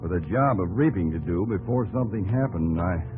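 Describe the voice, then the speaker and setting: A low-voiced American frontier farmer in a vintage radio drama with music behind him. He is earnest and weary, plainspoken and folksy, and his pitch swings widely with feeling. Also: expressive, a little fast, narrow, tinny audio. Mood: somber, reflective. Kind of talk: 1950s radio drama acting and narration. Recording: old 1950s radio recording with music behind.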